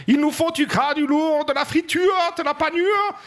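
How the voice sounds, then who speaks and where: A man's voice: extremely high pitch, normal speed, a bit bright. A man playing a pompous, scolding sixteenth-century imperial cook with an exaggerated German accent in a radio comedy sketch. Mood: bossy, fervent. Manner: pompous, florid insults peppered with German words.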